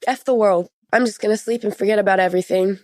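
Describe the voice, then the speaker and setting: A woman's voice: medium pitch, fast, a little mellow, dry recording, studio quality. Teenage girl, casual and candid. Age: late teens. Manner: informal, frank, frequent "like". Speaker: podcast guest. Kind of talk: personal interview, recounting experiences.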